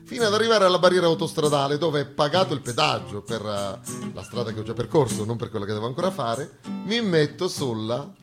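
A man delivers a theatrical comic monologue with emphatic, ironic phrasing and dramatic pauses. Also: medium pitch, lively intonation, music in the background. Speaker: stage actor performing a satirical monologue.

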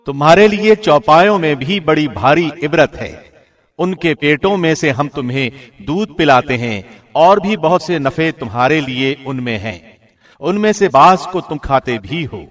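A man reading a scripture translation in a formal, measured and solemn radio presenter's style. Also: a little high, animated. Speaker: translation reader, radio host.

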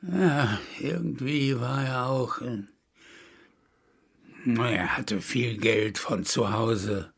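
Radio play role: evasive male landlord-baker. Gravelly, slow delivery, hesitant, grumbling, stiffly respectable. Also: medium pitch, heavily acted. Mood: reluctant, uneasy. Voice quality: gravelly, husky. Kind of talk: German radio drama acting.

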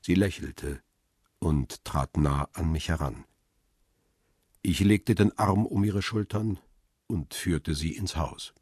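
Weary crime-drama narrator, very low pitch, husky, dry close sound, measured and subdued. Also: male voice, a little mellow, no room sound, exaggerated voice. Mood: wistful, calm. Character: weary, lovelorn male paparazzo narrating his thriller story. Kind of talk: radio drama first-person narration.

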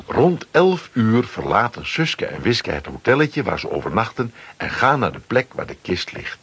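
A man's voice: fairly low pitch, big pitch swings, moderate pace, a bit bright, slight background noise. A man narrating a children's adventure story from a script, with dramatic delivery.

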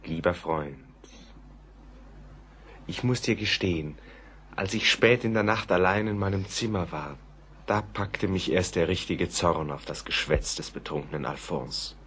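An actor playing a troubled, dreamy gentleman in a literary radio play, brooding and at times hushed, over music. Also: sits low, a little slow, a little hiss or hum. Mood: uneasy, confiding. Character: troubled, dreamy gentleman recounting an uncanny night.

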